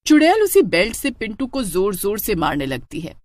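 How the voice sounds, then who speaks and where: Casting: female storyteller, dramatic scripted read, expressive pitch movement, dry studio sound. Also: moderate pace, no room sound.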